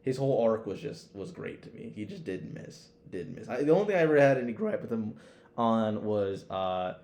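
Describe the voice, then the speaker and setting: Mellow young man, slangy and profane. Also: lowish voice, animated, moderate pace. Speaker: podcast co-host. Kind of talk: casual opinionated fan chat.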